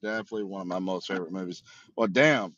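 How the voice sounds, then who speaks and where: Low-voiced man on a dull lo-fi mic. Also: fast talker, fairly bright tone. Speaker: livestream co-host. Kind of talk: casual chat.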